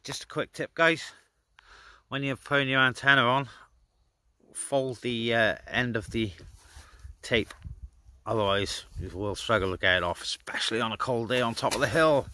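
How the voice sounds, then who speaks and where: A man's voice: medium pitch, bright, forceful tone. Man vlogging casually, noisy outdoor recording. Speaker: amateur CB radio hobbyist filming a vlog. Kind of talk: casual hands-on vlog commentary. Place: outdoors in a garden.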